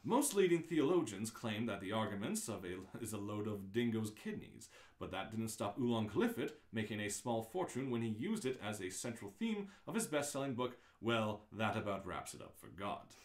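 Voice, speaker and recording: A man plays a wry, erudite guidebook narrator in a comic radio play. The lowish voice moves animatedly up and down as it explains, with an echoey room around it. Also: big pitch swings, normal speed, few pauses, a little mellow. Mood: wry, explanatory. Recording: roomy, echoing live-stream audio.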